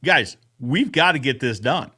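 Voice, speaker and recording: A man's voice: medium pitch, expressive, unhurried, pushed and bright, breathy edge. A man hosting a casual podcast recap, chatting in an upbeat, informal and colloquial way. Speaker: show host, fence expert.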